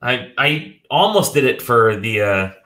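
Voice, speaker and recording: A man hosting a casual video game podcast from home, sick and a bit nasal, joking and clearing his throat often. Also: mid-range voice, big pitch swings, measured pace, sharp tone. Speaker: podcast host. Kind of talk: casual chat. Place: home room.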